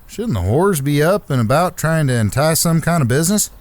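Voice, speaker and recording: A man's voice: on the high side, sing-song, a little fast. An actor playing a hot-headed, foul-mouthed younger-brother cowboy, crude and folksy in his complaints, in a Western audio drama. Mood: blunt, bold.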